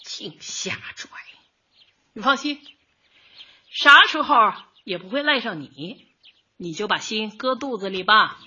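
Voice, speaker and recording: A woman's voice: mid-range voice, expressive, measured pace, pauses often, bright, forceful tone, highs cut off. An actress playing a bold, hearty middle-aged neighbourhood woman with a Northeastern Chinese accent in a radio comedy, folksy and forthright. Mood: indignant, defiant. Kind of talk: radio comedy drama acting.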